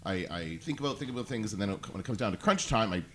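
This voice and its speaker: A man with a naturally loud, fairly low voice, speaking quickly into a studio mic. He is self-deprecating and hesitant, often restarting his sentences, in a casual podcast chat. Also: lively intonation, a little hiss or hum. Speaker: podcast producer and guest. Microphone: studio microphone.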